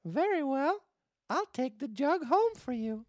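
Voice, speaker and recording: Storybook puppet boy played by a woman, very high and plaintive, close dry sound. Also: very animated, unhurried, a little mellow, dry recording. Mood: grudging. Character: Pinocchio, plaintive young boy marionette begging.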